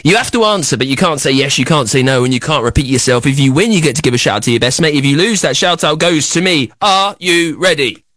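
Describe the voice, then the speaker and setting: A man's voice: a little high, fast, few pauses, no echo, clean studio sound. A man hosting a children's radio show, running a quiz with a young caller in a playful, teasing, boastful way. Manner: teasing, boastful, exaggerated excitement.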